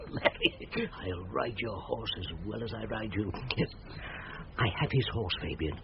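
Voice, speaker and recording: An actor playing a boisterous, mischievous older knight with hearty bluster in a comic Shakespearean radio play. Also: male voice, on the low side, lively intonation, measured pace, gravelly. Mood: sly. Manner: hearty, blustering, chuckling, hesitating for effect. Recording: dull 1970s radio recording, faint noise.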